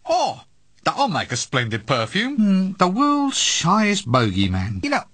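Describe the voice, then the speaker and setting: Sarcastic comedy game adventurer, kinda high raspy voice, super animated, glib and exasperated. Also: male voice, unhurried, no echo, heavily acted. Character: wisecracking, cynical, cowardly-comic male adventurer hero. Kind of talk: comic video game voice acting.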